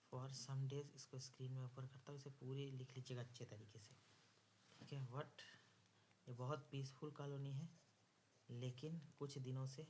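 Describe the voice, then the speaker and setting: A man's voice: mid-range voice, slight background noise. A man with an Indian accent teaching an exam lesson, dictating step by step and repeating phrases word by word as he writes. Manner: instructive, repeats phrases word by word while writing.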